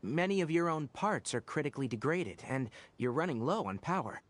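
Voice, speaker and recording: An actor playing a serious, principled young male forest ranger and former scholar in a video game, speaking in a measured, articulate, earnest way. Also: a little high, animated, very noisy, natural voice. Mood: calm, considerate. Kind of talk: scripted game dialogue.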